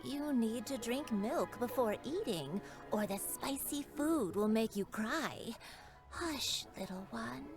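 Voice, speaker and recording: Slow, gentle old granny. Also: female voice, a little high, animated, over music. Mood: fussing, tender. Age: older. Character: gentle, forgetful, doting old grandmother. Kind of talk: video game voice acting.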